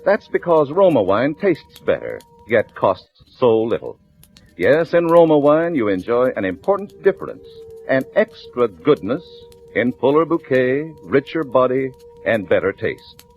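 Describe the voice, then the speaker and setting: A man's voice: medium pitch, big pitch swings, unhurried. An American man reading a scripted 1940s radio advertisement as an announcer, polished and emphatic. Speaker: commercial announcer. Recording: tinny vintage radio recording with music.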